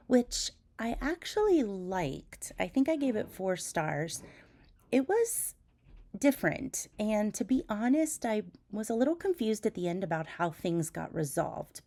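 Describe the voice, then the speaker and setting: Woman vlogger, stuffy from a cold, low-ish voice, relaxed chatty delivery with restarts. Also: vocal fry. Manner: chatty, with frequent ums and restarts. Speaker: reading journal vlogger. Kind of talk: casual chat and voiceover. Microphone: voiceover mic.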